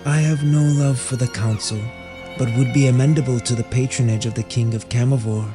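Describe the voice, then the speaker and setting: A man voices a scheming fantasy official who bargains for his own reward. His delivery is formal, measured and conspiratorial, at a middling pitch. Music plays clearly behind him. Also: fairly even intonation, lightly acted. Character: scheming male warden offering secret aid for reward. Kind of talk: fantasy audio drama acting.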